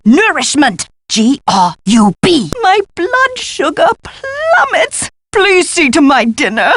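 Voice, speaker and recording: An actress playing an eccentric, excitable, absent-minded woman professor in a video game, with a flighty, pompous and exclamatory delivery. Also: high voice, dry recording, exaggerated voice. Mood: impatient, hungry. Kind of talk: theatrical video game voice acting.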